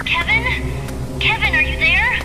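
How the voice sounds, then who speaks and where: A woman's voice: very high voice, slow. A woman playing a focused teenage girl hacker who guides her teammate in a cartoon-based video game. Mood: concerned. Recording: distant voice under loud game music.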